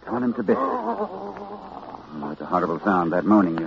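A man's voice: high voice, wildly swooping pitch, unhurried, creaky, a little hiss or hum, heavily acted. A man acting a learned, hesitant university librarian recounting horror in a cultured, dramatic manner, in 1940s radio suspense style. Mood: shaken. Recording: dull old radio recording with music.

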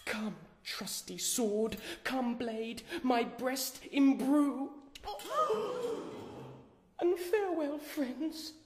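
An actress playing a grieving young maiden, lamenting melodramatically in Shakespearean verse for a radio drama. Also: female voice, high-pitched, animated, very slow, long pauses, a little mellow, exaggerated voice. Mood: mournful, theatrical. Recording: echoey hall with long reverb.

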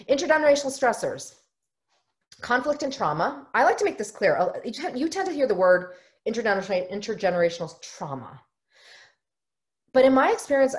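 A middle-aged woman who is a family therapist, giving an online workshop from a home office over a webcam, earnest and explanatory. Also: medium pitch. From United States. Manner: earnest, explanatory, often acts out quoted voices. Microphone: webcam on a video call.

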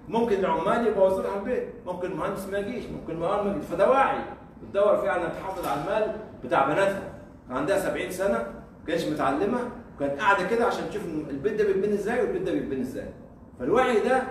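An Egyptian man with an Egyptian accent giving an informal university lecture, throwing out rhetorical questions, repeating himself and chuckling. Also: high voice. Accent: Egyptian Arabic. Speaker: guest lecturer. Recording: echoey room, mic far off, faint noise.

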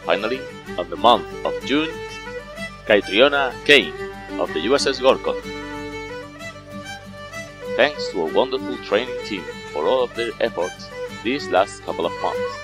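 Deep, animated man announcing over music. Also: normal speed, long pauses. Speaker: podcast announcer. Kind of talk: scripted announcement. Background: music with brass and strings.

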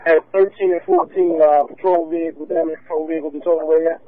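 A man's voice: on the high side, fairly even intonation, quick pace. A man speaking in terse police traffic over a two-way radio. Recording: tinny, narrow-band police scanner radio.

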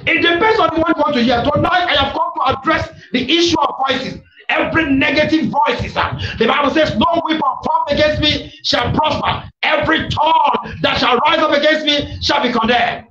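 Male preacher, very high strained pitch, fiery and repetitive shouted delivery, echoey room. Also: normal speed, far from the mic. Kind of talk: fiery Pentecostal sermon with prayer declarations. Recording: echoey, dull, noisy room recording.

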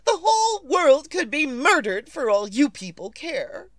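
High female voice, slow-paced, nervous demanding invalid, fretful repetitions, vintage radio drama. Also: very animated, no echo, clean studio sound. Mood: irritated, demanding. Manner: fretful, imperious, stammering and repeating herself. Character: nervous, demanding bedridden invalid wife, easily frantic. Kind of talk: 1940s radio suspense acting.

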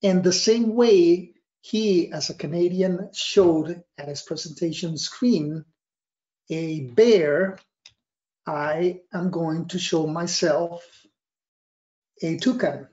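A middle-aged man with a Costa Rican accent, a native Spanish speaker, explaining slides as a consultant in a wordy, halting way with emphatic stresses. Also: fairly high pitch, dark tone. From Costa Rica. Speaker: risk analysis trainer and consultant giving a presentation. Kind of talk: slide presentation, semi-scripted explanation. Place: small office, indoors. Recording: dull, roomy webcam recording.

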